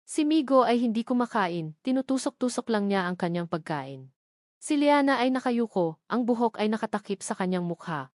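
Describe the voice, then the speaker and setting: A woman reading a scripted dramatic love story as narrator, in a measured, solemn and sentimental way. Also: mid-range voice, a little mellow, no room sound, clean studio sound.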